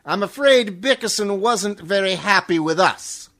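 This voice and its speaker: A man plays a wry old-radio jailer who takes his time. The voice is very high, sharp and bright. His pitch swings widely as he drawls out his official business. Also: animated, measured pace, heavily acted. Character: unhurried, wry jailer, a policeman. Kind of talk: 1940s radio comedy acting.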